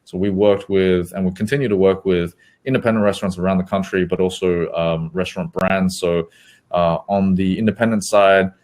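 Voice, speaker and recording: A man, an agency co-founder, speaking as a guest co-host and explaining his work in a relaxed, rambling way over a video call. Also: deep voice, a little fast. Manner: relaxed, rambling, frequent ums. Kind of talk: casual business talk.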